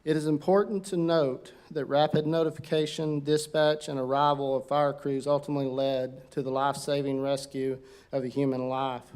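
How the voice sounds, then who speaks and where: Fire captain guy reading a report, smooth clear voice, pretty monotone, a few uhs. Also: medium pitch. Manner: steady, reads an incident report, some halting fillers. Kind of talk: formal prepared speech. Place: council chamber.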